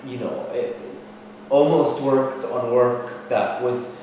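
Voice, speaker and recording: A man's voice: mid-range voice, fairly even intonation, slow pace, a little mellow, slight background noise. A man from Egypt, a theatre director, talking casually and thoughtfully, full of fillers. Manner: thoughtful, agreeing, frequent hums and fillers. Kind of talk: casual conversation. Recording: very echoey room heard from far off.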